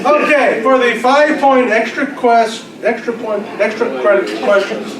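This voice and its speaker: A man's voice: very high voice, normal speed. An older man acting as quiz master, reading out questions and answers to a club gathering in a big room. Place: large room at a club luncheon. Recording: distant mic in echoey room, chattering crowd.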